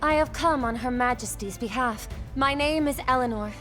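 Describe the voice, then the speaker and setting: A woman's voice: sits high, moderate pace, close to an everyday voice. A woman playing a polite, composed young princess in a video game, formal and poised. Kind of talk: video game cutscene acting. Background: music.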